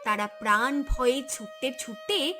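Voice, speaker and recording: A woman narrates a cartoon story dramatically. The voice is high and bright, with unhurried delivery. Music plays throughout behind it.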